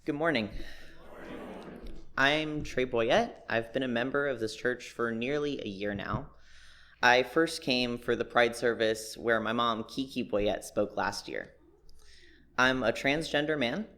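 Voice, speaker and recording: A man's voice: medium pitch, a little slow, bright, forceful tone. A young man giving a prepared personal reflection at church, plain-spoken and candid.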